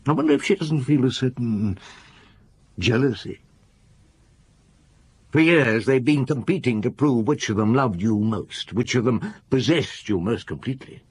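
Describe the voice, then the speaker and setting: An actor playing a shrewd, relentless police chief inspector in a radio drama, probing and measured as he interrogates. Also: on the low side, slightly rough, no room sound. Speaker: actor in lead detective role.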